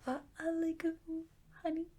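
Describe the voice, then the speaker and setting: A woman voicing a humble, slow-witted, wistful bear in a children's bedtime story, with a hesitant, stammering manner. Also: very high voice, flat delivery, mellow, pitched above the performer's own voice. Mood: dreamy, wistful.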